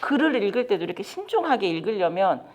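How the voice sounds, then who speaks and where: A woman's voice: mid-range voice, quick pace. A middle-aged woman, giving a training lecture to caregivers and putting questions to the audience. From South Korea. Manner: lively, talks straight to the audience with questions. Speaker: lecturer on child development. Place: lecture room.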